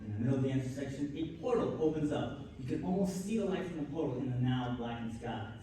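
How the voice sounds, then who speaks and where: A man's voice: medium pitch, expressive, quick pace, far from the mic. A man narrating a live radio play, reading out scripted stage directions. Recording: echoey hall from afar, faint noise.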